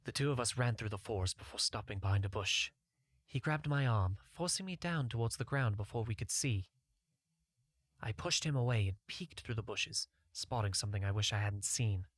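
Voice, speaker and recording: Male story narrator, lower register, dry recording, reading first-person fantasy fiction. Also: animated, moderate pace, dry recording. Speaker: audiobook narrator.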